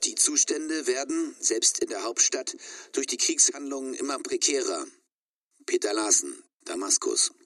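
A man's voice: medium pitch, animated, moderate pace, a bit bright. War correspondent, male, grave news delivery, distant mic, faint music. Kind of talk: mock news report in radio drama.

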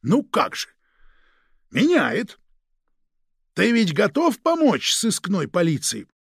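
A male narrator acts out a domineering police chief in a crime audiobook. The voice sits fairly high, with a gravelly creak and a very dry, close sound. He interrogates with suspicion and hard authority. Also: wildly swooping pitch, takes it slowly, fairly bright tone, dry recording, full character voice. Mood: pressing. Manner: commanding, interrogating, barking orders. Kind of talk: dramatic audiobook character voice.

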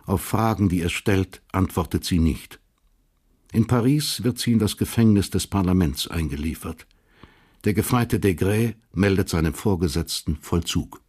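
A man with a husky voice narrating a radio drama in a sober, matter-of-fact way. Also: deep voice, moderate pace, darkish tone.

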